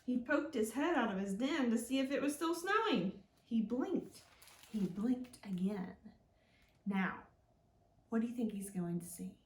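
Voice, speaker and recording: Woman, higher voice, unhurried, gentle children's librarian, expressive storytime delivery, echoey room. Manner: gentle, simple, encouraging talk aimed at small children. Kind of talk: children's storytime read-aloud with questions to young listeners. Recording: roomy, echoing indoor sound.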